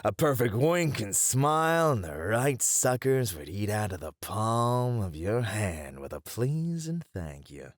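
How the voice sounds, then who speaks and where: Man acting cocky, low-voiced, flirtatious gang leader. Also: big pitch swings, a little slow, hardly any pauses, no echo, clean studio sound. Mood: confident, sly. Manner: playful, theatrical, teasing. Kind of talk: flirty ASMR roleplay acting.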